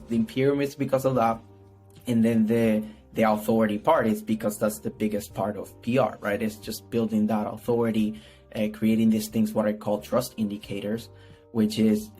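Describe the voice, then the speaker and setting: Low, even-toned Puerto Rican man, rambling casually. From Puerto Rico. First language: Spanish. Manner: rambling, repeats words, frequent "right?" tags. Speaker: podcast guest, PR strategist. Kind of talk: casual conversational interview answers.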